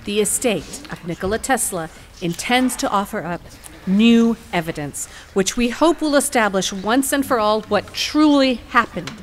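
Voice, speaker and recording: An actress playing a determined, eloquent female lawyer in a courtroom audio drama, with a formal, theatrical and deliberate delivery. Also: medium pitch, slight background noise, close to an everyday voice. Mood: firm, formal. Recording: music behind the voice.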